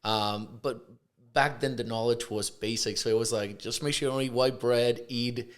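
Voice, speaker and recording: A man from Puerto Rico, a chiropractor, chatting casually in an interview, relaxed and hesitant with many fillers. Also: medium pitch, moderate pace. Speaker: chiropractor, guest.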